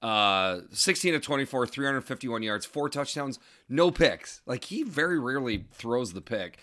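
Hoarse, raspy man hosting a podcast. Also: medium pitch, normal speed. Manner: reads scores and stats in a steady run, then chats. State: hoarse from screaming at a game. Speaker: podcast co-host. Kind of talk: casual sports podcast chat.

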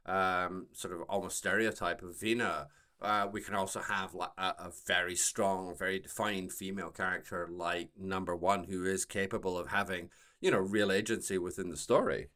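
A man hosting a podcast, casually discussing a TV show in wordy, halting phrases full of repeated words. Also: low-pitched, fairly even intonation, pushed and bright. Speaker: podcast host.

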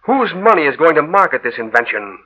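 Cold-blooded poisoner for vintage radio horror: very bright, hard-edged voice, deliberate and gloating. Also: male voice, a little high, sing-song, highs cut off, heavily acted. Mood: gloating menace. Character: scheming, greedy, cold-blooded male chemist, a poisoner. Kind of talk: 1940s radio horror drama acting. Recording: old 1930s-40s radio broadcast recording.

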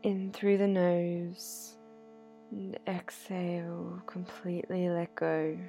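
A woman guiding a sleep meditation in a soothing, unhurried, gently instructive manner. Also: lowish voice, level tone. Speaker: yoga nidra meditation guide. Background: soft sound healing instrumental music.

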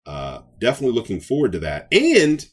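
A man's voice: mid-range voice, wildly swooping pitch, a little fast, breathy edge. A man co-hosting a podcast, talking casually and enthusiastically about nerd news with playful joking. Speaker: podcast co-host.

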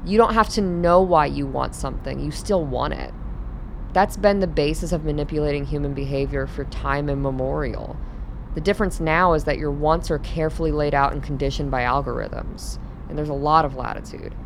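An actor playing a jaded, worldly rebel in a radio drama, explaining things in a matter-of-fact, cynical way. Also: male voice, fairly high pitch, brisk, vocal fry. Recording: faint vehicle road noise behind. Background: vehicle road noise.

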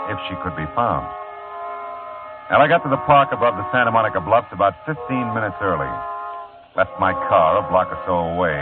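A wry, hard-boiled private detective narrating with dry, world-weary wit. His low voice swings lively through each line. Music plays under the tinny sound of an old radio broadcast. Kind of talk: 1940s radio acting.

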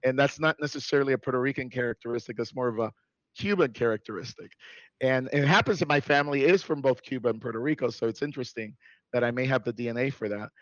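A man born in New York City, a guest in a casual interview who talks in an animated way, laughs often and restarts his sentences. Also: medium pitch, fast, dry recording, lo-fi sound.

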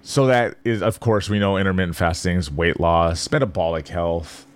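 A man hosting a podcast, talking loosely and jokingly to the listener, explaining fasting in a casual monologue. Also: sits low, smooth. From Philippines.

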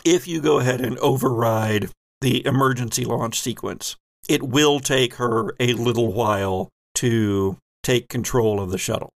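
A man running a tabletop role-playing game as game master, improvising vivid descriptive narration with thoughtful pauses. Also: medium pitch, fairly even intonation, creaky, no echo.

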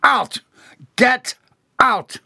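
A man acts out a stern old shipping boss for an audiobook. He is forceful and accusing, with a bellowing streak and a voice pushed up from a normal male pitch. The sound is close and dry. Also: animated, normal speed, gravelly, no echo, full character voice. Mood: furious. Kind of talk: dramatised audiobook dialogue.

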